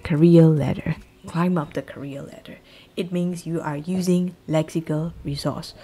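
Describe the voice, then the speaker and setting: A woman from Myanmar with a Burmese accent, an English teacher giving an exam-prep lesson and repeating key phrases slowly to drill them. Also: deep voice, steady tone, warm tone, breathy edge.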